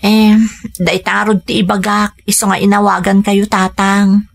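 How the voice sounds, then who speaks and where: An actress playing a dutiful, weary young Filipina daughter with an Ilocano accent, earnest and plaintive in a radio drama. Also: female voice, mid-range voice, level tone, measured pace, creaky. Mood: gentle, hesitant. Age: young adult.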